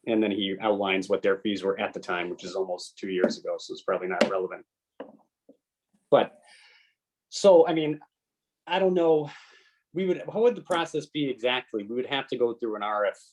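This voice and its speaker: A man on a committee, talking in loose, rambling asides and reading an email aloud at a meeting. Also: on the low side. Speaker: committee member. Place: public meeting room.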